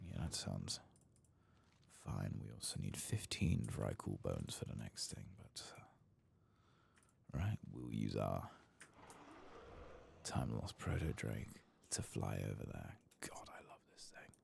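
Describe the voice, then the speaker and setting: Super deep crackly guy doing asmr game commentary, soft n breathy, half whispering, takes his time. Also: big pitch swings, slow, long pauses. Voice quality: breathy, gravelly, drifting into whisper. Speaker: gaming ASMR host.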